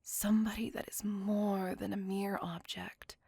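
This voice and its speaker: A woman performs a melancholy, courteous female vampire in an intimate ASMR roleplay. Her soft voice is very breathy and close, with a dry sound. She speaks slowly and formally, with wistful tenderness. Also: medium pitch, little pitch movement, no room sound. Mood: wistful, yearning.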